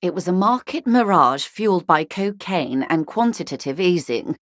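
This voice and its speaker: A woman playing a poised, wealthy middle-aged corporate negotiator in a video game, speaking in a measured, eloquent, formal and dryly ironic way. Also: lowish voice, expressive, no room sound, clean studio sound, close to an everyday voice. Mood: wry, somber. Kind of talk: video game character dialogue.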